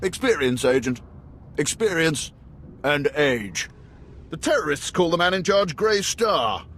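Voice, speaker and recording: Older male intelligence chief, genial and measured, fairly high voice, game dialogue over noisy ambience. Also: big pitch swings, fairly bright tone. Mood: confiding, assured. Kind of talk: video game dialogue acting.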